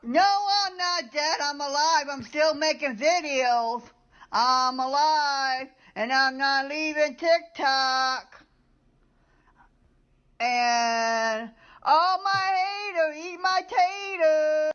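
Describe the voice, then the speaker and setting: Woman, high pitch, very drawn-out pace, defiant emphatic monologue for social media, muffled clip playback. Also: a bit bright.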